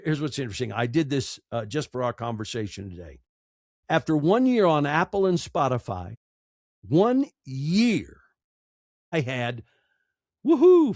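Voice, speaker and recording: A man's voice: fairly high pitch, a little mellow, no room sound, studio quality. A man speaking as a podcast guest, giving conversational advice emphatically and repeating phrases for effect.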